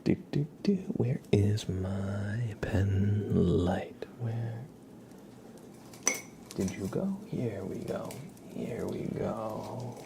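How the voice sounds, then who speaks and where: A man hosting an ASMR livestream, chatting to viewers in a breathy whisper mixed with soft, creaky speech, gentle, unhurried and playful. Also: fairly low pitch, background noise.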